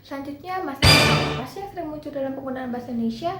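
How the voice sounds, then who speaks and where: A woman's voice: high voice, expressive, very fast, over loud music. A young woman presenting a class project, reading through lists of points with small slips and restarts. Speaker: student presenter. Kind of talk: class presentation explaining a topic. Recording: echoey room with clear background noise.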